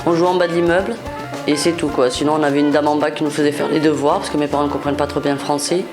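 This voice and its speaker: A woman's voice: low voice, little pitch movement, racing, music in the background. A woman, a resident, recalling her childhood in a nostalgic storytelling way. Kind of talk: documentary interview.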